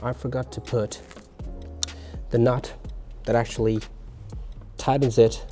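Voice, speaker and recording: A man's voice: medium pitch, level tone, slow, dark tone, faint music behind. A man giving a casual hands-on explainer for a vlog, informal and thinking aloud as he works. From Australia. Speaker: vlogger showing a DIY car radio install.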